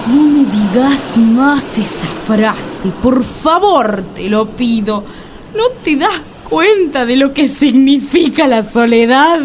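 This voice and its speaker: Nervous suspicious woman, Rioplatense Spanish accent, fairly high voice, street noise. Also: animated, slow, low-quality recording. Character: anxious newly separated woman, Cecilia.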